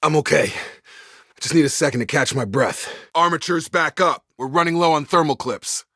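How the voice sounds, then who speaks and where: An actor playing a loyal, earnest young space soldier in a video game, with a straight-talking military manner. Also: male voice, fairly high pitch, moderate pace, fairly bright tone, no room sound, studio quality. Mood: urgent combat barking. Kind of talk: video game character dialogue.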